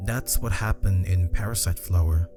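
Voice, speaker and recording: A man's voice: sits low, normal speed, music in the background. A man reading scripted narration for a video essay.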